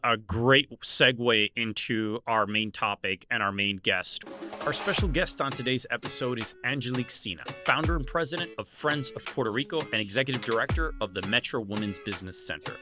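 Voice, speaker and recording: A middle-aged man co-hosting a podcast, talking in a relaxed, earnest way with many fillers. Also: mid-range voice, fairly quick, music in the background. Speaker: podcast co-host. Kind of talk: casual podcast discussion.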